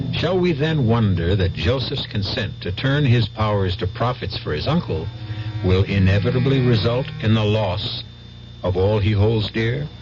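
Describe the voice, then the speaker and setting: A man hosting a radio drama with theatrical scripted narration, ornate, deliberate and ominous. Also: on the low side. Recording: dull lo-fi radio recording with music.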